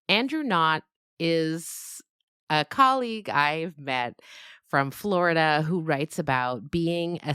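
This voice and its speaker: A woman's voice: deep voice, fairly bright tone, smooth, dry recording, clean studio sound. A woman hosting a podcast, speaking casually to her listeners as she gives announcements. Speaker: podcast host and creator.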